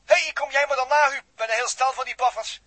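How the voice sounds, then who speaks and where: An actor playing an excitable, grumbling space crewman with colloquial exclamations in a 1960s Dutch radio drama. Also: very high voice, quick pace, no room sound. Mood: strained, hurried.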